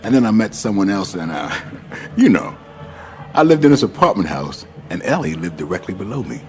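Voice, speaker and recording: A man's voice: deep voice, big pitch swings, darkish tone, faint music behind. A man acting a laid-back, mischievous, worldly friend in a radio drama, telling things in a wry, colloquial way. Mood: wry, amused. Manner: unhurried, wry, chuckling, colloquial.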